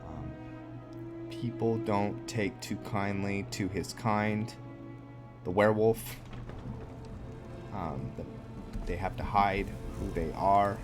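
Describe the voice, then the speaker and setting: A man giving casual game commentary, explaining lore and thinking aloud in a halting way. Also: fairly low pitch. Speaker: gamer narrating his roleplay playthrough. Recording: music behind the voice. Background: game music and game characters.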